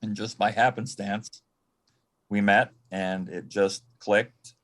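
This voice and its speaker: An American man from Michigan reminiscing as a panel guest in a halting, reflective way, often repeating words. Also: lowish voice, steady tone. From Michigan, United States. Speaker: panel guest, former assistant to an entertainer.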